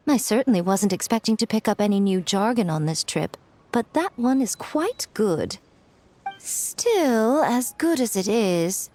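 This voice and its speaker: Elegant, sly shrine head, highish. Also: female voice, a little mellow, dry recording. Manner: genteel, teasing, drawn-out phrasing. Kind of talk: video game voice acting.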